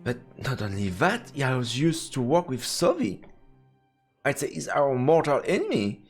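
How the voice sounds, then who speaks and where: A man's voice: medium pitch, sing-song, measured pace, breathy edge. A man with a French accent reading game text aloud and adding casual, playful, theatrical commentary as a live streamer. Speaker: live streamer playing a visual novel. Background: faint game music.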